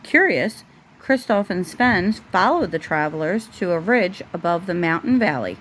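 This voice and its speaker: A woman's voice: on the low side, sing-song, moderate pace, fairly bright tone, rough recording. A woman reading a children's storybook aloud at home, as a parent would at bedtime. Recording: faint background noise, home recording.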